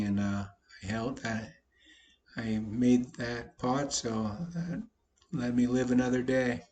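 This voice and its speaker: A slightly hoarse man, a poker vlogger, casually talking through his online poker hands with a folksy, self-deprecating manner. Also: medium pitch, darkish tone, slight background noise. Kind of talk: casual commentary over online poker play.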